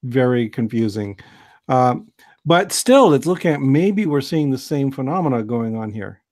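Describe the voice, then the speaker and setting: A man's voice: medium pitch, moderate pace. American man lecturing casually on a call. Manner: relaxed, digressive, with many fillers and restarts. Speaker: host, biology lecturer. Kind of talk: informal science lecture on a video call. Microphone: video call.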